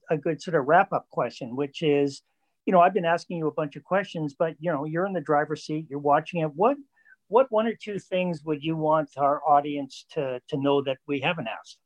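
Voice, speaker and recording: A man's voice: on the high side, brisk, rough recording. A man from Canada, hosting an interview and framing his questions conversationally with context first. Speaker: interviewer, host. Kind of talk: business interview.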